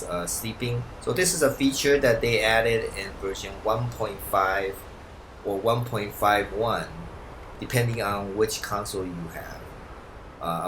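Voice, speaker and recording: Slow-paced man with noise behind him. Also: medium pitch, a bit bright. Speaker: video game guide host. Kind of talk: unscripted walkthrough commentary.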